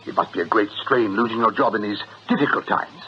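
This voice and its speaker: An actor with a British accent playing a jovial, slippery agency boss in a radio comedy-drama, breezy, wheedling and mock-polite. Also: male voice, fairly low pitch, fairly quick, natural voice. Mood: feigned sympathy. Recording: muffled radio drama recording, faint hiss.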